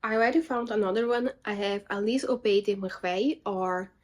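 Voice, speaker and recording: A woman's voice: mid-range voice, fairly quick. Chatty young woman book vlogger. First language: not French. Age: young adult. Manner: chatty, self-correcting, animated asides. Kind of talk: casual chat. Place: indoor room with bookshelves.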